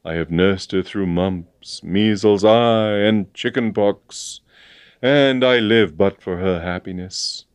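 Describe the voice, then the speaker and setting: A man's voice: lowish voice, slow, clear, resonant tone, no room sound, studio quality. A man voicing a stern, sentimental old colonel with a grave, emphatic military manner, in a storybook reading. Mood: solemn, sentimental. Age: older.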